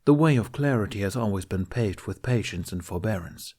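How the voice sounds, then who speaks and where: A man voicing a seasoned male knight who speaks with measured diplomacy. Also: lowish voice, animated, dark tone, slightly rough. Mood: composed.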